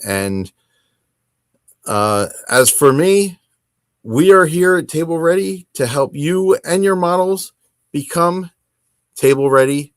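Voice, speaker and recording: A man hosting a hobby podcast, talking casually on his own in a rambling, halting and self-deprecating way. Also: on the high side, expressive, no room sound. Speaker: host of a tabletop wargaming podcast. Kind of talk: casual solo chat to camera.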